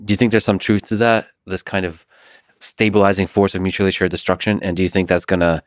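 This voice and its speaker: A man hosting a podcast interview, asking slow, deliberate, thoughtful questions. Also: low voice, little pitch movement, dry recording, clean studio sound. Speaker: podcast host.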